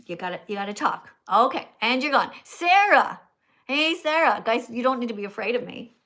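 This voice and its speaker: A high-pitched older woman chatting through a livestream in a teacherly way. She keeps tagging her lines with "okay?" and "right?" as she coaches callers and thanks viewers. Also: expressive, moderate pace, sharp tone. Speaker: livestream host. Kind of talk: casual livestream chat with callers.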